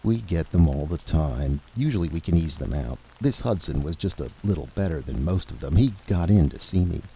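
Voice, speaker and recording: A man's voice: deep voice, animated, fairly quick. A man voicing a skeptical senior government official in a radio drama, curt and dismissive. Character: curt, skeptical senior male Secretary of State. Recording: dull, noisy vintage radio recording.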